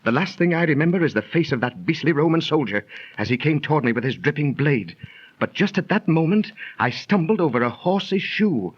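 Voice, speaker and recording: Husky ancient warrior, earnest and theatrical, old muffled 30s radio sound. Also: male voice, on the high side, big pitch swings, brisk. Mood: awed, solemn. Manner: theatrical, archaic phrasing. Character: ancient Teutonic warrior, earnest, awed battle survivor. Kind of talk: 1930s radio drama acting. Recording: muffled, lo-fi 1930s radio recording.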